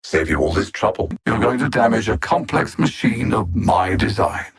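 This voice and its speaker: An actor playing an arrogant, cold alien supervillain in a video game, haughty and theatrical, savoring every word. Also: male voice, on the low side, animated, normal speed, husky. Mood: taunting, contemptuous.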